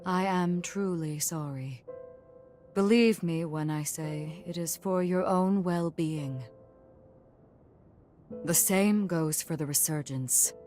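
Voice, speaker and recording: Game mentor: grave, guarded noblewoman, low-pitched, unhurried and formal, faint music behind. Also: a little mellow. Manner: solemn, deliberate, formal. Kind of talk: video game cutscene acting.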